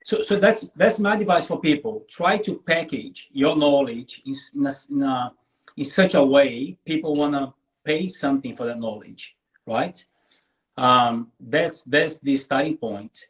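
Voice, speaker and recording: A man's voice: medium pitch, a little slow, rough recording. A man whose first language is Portuguese, a guest in a live online interview, talking earnestly and often checking in with "right?". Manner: earnest, repeats words, tags lines with "right?". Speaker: guest content creator.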